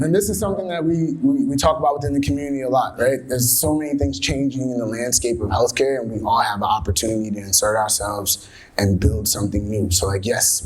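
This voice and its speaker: A man's voice: mid-range voice, poor audio. A man hosting a live interview, speaking in an encouraging, conversational way as he puts questions to his guest. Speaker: host, interviewer. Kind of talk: live interview before an audience.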